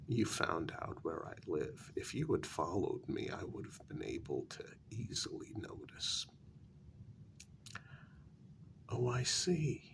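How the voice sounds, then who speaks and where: Man playing a slow, gravelly, soft-spoken vampire. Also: on the low side, heavily acted. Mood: wry, measured. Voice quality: breathy, gravelly near-whisper. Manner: slow, deliberate, formal, condescending. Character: haughty, dryly amused male vampire, predatory yet civil. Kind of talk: soft-spoken ASMR role-play.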